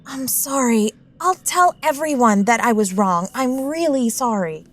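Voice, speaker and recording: A woman's voice: on the high side, expressive, measured pace. An actress in a radio drama playing a naive, nervous young lady with an anxious manner. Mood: sheepish, apologetic. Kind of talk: immersive radio drama acting.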